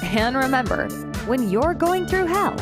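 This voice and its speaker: A woman's voice: sits high, very animated, unhurried. A woman reading a scripted podcast introduction as an announcer. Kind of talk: scripted promotional narration. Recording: music bed under voice. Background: clear music.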